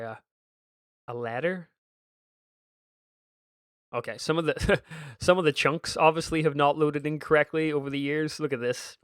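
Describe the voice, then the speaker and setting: A man in his thirties with a Northern Irish accent touched by a faint American twang, talking over gameplay in a loose, reminiscent way that circles back on itself. His voice sits at an ordinary middle pitch. It is recorded close and dry, with no room sound. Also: a bit bright, dry recording. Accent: Northern Irish with a slight American twang. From Northern Ireland. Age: 30s. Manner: meandering and reminiscent, frequent restarts. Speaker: gaming YouTuber. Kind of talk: casual gameplay commentary.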